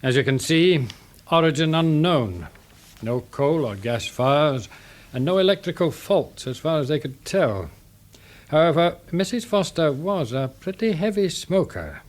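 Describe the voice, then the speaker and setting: An actor with an English accent playing a measured, courteous police inspector in a radio drama. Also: male voice, on the high side, expressive. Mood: matter-of-fact. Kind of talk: BBC radio drama acting.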